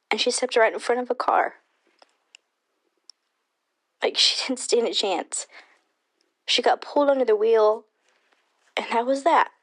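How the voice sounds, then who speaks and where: A woman playing a shaken eyewitness telling her story in a casual, chatty way for a radio drama. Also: a little high. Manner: casual, filler-laden storytelling. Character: shaken, chatty female eyewitness to a fatal accident. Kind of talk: radio drama witness interview.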